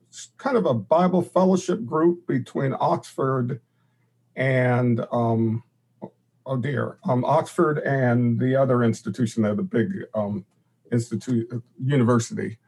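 An older man, a podcast guest, explaining in a halting conversational way and pausing to search for words. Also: medium pitch, a little mellow, slightly rough.

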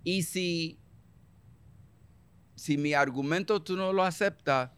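A man's voice: on the high side, slow pace, fairly bright tone, clear, resonant tone. A Puerto Rican man answering as an interview guest, with a voice sitting a little above the usual male range. He argues emphatically, circles back to repeat his points, and drops English phrases into his Spanish. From Puerto Rico. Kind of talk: conversational political interview.

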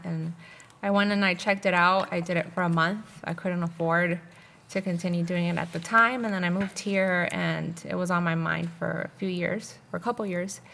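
A woman who grew up in Miami answering interview questions in a calm, matter-of-fact way. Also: on the low side, creaky. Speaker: physical therapist.

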